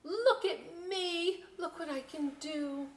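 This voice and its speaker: A woman voicing a cheerful, curious young female boat in a storybook, with a chirpy, excitable manner. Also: extremely high pitch, takes it slowly, voice pushed up. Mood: gleeful. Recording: roomy echo, clear background noise.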